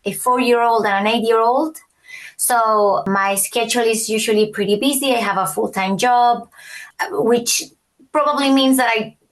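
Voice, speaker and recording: A woman in her forties, originally from Chile, talks through her story as an interview guest. Her voice sits fairly high, and she often pauses, repeats words and restarts her sentences. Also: rough recording. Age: 40s. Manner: hesitant, restarts often, laughs lightly. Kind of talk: casual interview.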